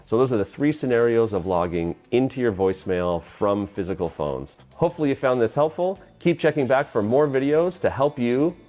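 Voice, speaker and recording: A man's voice: mid-range voice, animated, normal speed, hardly any pauses, darkish tone, soft background music. A man presenting an instructional tutorial, explaining things plainly step by step. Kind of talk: instructional explanation of phone system use.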